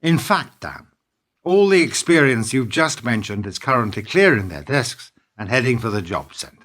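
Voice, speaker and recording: A man acting in a radio play as a bitter, principled business partner, speaking in a measured, sardonic, needling way. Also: medium pitch, lively intonation, a bit bright, close to an everyday voice. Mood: sardonic, accusing.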